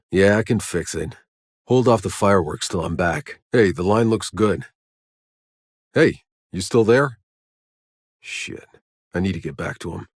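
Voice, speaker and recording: Low, husky, battle-weary game soldier. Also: male voice, no room sound, studio quality. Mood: dry, determined. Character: gruff, battle-weary male soldier, sardonic and hard-bitten. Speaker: video game character voice. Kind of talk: scripted game dialogue.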